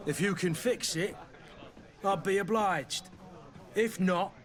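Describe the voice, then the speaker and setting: A man's voice: sits high, slow pace. A man playing an aggrieved, blunt tavern keeper in scripted video game dialogue, with an indignant way of talking.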